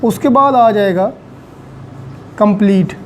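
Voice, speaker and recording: A man's voice: high-pitched, takes it slowly, slight background noise. A man giving an online law lecture, patiently explaining step by step with examples and English legal terms. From Pakistan.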